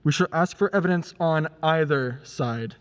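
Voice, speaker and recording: A man playing a dignified, weary judge in an amateur radio play, formal and measured. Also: on the high side, natural voice. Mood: formal, authoritative. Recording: long hall-like reverb.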